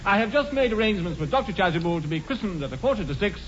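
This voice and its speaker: An actor playing a flippant young British dandy, airy and teasing, in 1940s radio comedy style. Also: male voice, sits high, sing-song, racing. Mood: unbothered. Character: flippant, languid young dandy, unbothered glutton. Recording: muffled, noisy 1940s radio broadcast.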